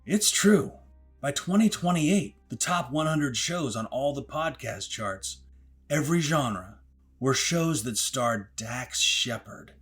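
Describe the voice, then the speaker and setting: A man's voice: mid-range voice, lively intonation, slow pace, fairly bright tone, soft background music. A man playing a matter-of-fact expert who explains things conversationally in a mock documentary interview.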